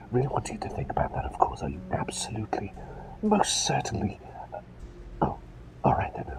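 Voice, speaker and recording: A man performing a whispered ASMR roleplay as a gentle, eccentric plague doctor, with courteous old-fashioned phrasing and a dithering, repetitive way of talking. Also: mid-range voice, creaky, low-quality recording. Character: gentle, eccentric, absent-minded male plague doctor, polite and chatty.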